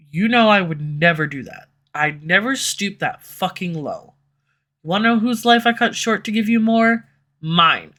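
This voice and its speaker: A woman's voice: lowish voice, bright, forceful tone, heavily acted. A woman voice actor playing a proud, guarded female villain who swears and turns defensive when pushed, recorded on a USB condenser mic. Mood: defensive, heated. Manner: curses often, defensive and flustered when pressed. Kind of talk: audio roleplay acting. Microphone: USB condenser microphone.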